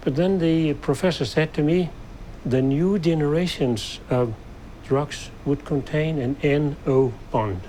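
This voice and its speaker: A man's voice: fairly high pitch, unhurried, dark tone, a little hiss or hum, poor audio. An older man with a Danish accent, a scientist recounting his experiences in an interview. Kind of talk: recollective interview storytelling.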